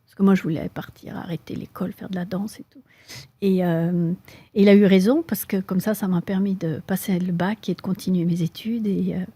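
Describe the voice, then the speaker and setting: A middle-aged woman from Switzerland with a Swiss French accent, answering interview questions thoughtfully, with frequent pauses and fillers. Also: fairly low pitch, fairly even intonation, dark tone, husky, no room sound. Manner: thoughtful, hesitant with many fillers. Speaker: guest, mountaineer.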